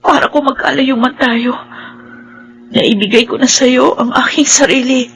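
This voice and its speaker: A woman's voice: high voice, fairly even intonation, normal speed, a bit bright, slight background noise. A woman acting in a Filipino radio drama as a desperate, manipulative young lover who is tearful and demanding. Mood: pleading, intense.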